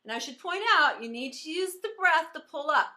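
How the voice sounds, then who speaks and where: A woman voice teacher giving an encouraging singing lesson, explaining pitch and resonance as she demonstrates. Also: expressive, brisk, pushed and bright.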